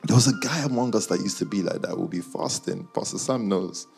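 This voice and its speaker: A man's voice: low-pitched, fairly quick, gravelly, a little mellow, soft background music. A man with a London accent chatting casually and slangily to a live audience, teasing his listeners as he goes. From Nigerian, childhood years in Guyana, schooled in the UK. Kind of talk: casual chat with a live audience and online viewers.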